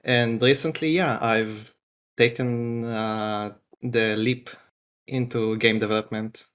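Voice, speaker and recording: A man, a game developer guest on a podcast, talking in a relaxed way with many pauses. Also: medium pitch, smooth. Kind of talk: casual podcast interview.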